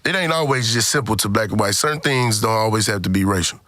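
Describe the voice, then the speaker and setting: Man, bright forceful voice, dry sound, emphatic, repetitive, slangy, casual podcast talk. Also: on the low side, expressive, fast, no room sound. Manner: emphatic, repeats points, slangy with profanity. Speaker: podcast co-host. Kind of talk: casual group podcast discussion.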